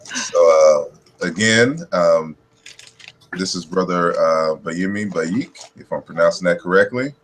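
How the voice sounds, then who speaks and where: A man's voice: low-pitched, slow, slight background noise. A man hosting an online interview, talking informally with many fillers. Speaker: host.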